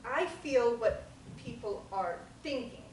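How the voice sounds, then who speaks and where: A woman's voice: on the high side, lively intonation, slow, a loud crowd. A woman reading a hesitant, anxious young woman. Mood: uneasy. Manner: hesitant, rambling, self-conscious. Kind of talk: table reading of a stage play. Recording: echoey room from a distant mic.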